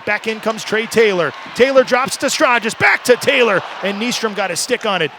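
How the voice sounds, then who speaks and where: A man delivers live hockey play-by-play for radio in a very high-pitched voice. His tone is bright and forceful, with an excitable delivery that climbs from steady calls into shouts. Faint arena noise sits behind him, as in a live broadcast. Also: normal speed.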